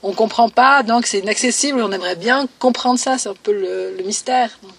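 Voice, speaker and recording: A woman's voice: fairly high pitch, fairly quick, gravelly, a bit bright, slightly rough, far from the mic. A woman, giving a personal testimony in a hesitant way, with many fillers and phrases that trail off. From Valais, Switzerland. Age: 20s. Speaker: interviewee.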